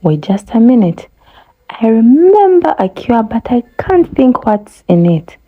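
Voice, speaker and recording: A woman's voice: on the high side, sing-song, measured pace, phone-quality sound, voice pushed up. A woman voicing a wrinkled old male elephant in a storybook, kindly, earnest and thoughtful. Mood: pondering.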